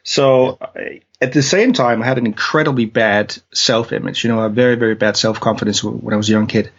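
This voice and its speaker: A man's voice: medium pitch, steady tone, a little fast, vocal fry, fairly bright tone, husky. A man from Denmark with a Danish accent, telling his story as a guest in an interview, hesitant with many fillers and restarts. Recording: dull lo-fi audio.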